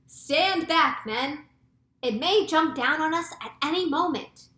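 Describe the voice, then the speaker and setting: A woman voicing a blustering, panicky male chief of police in a children's story, with loud, drawn-out shouts. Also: high-pitched, lively intonation, roomy sound, pitched above the performer's own voice, heavily acted. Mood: excited alarm.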